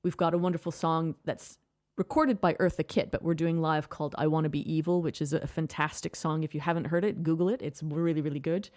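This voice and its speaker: A Canadian woman with a fairly low voice, speaking in a radio studio. She explains her work as a director with enthusiasm, in long, flowing answers. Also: fairly even intonation, fast, darkish tone. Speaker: theatre director, guest. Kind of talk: radio interview.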